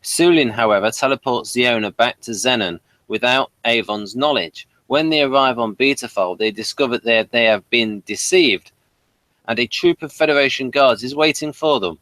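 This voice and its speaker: A man's voice: mid-range voice, normal speed, fairly bright tone, no room sound, rough recording. A man hosting a review show, reading out summaries and putting questions. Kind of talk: reading an episode synopsis, then prompting discussion.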